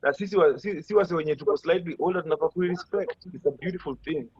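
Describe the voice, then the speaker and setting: A husky-voiced Kenyan man in his thirties answers questions over a video call and explains his thoughts in a reflective, measured way. The audio is dull and lo-fi. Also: a little high. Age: 30s. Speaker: musician guest.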